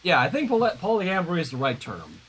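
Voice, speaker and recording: A man reading fan fiction aloud on a livestream, mixing animated narration with casual asides. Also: high voice, fast talker, a little hiss or hum, rough recording.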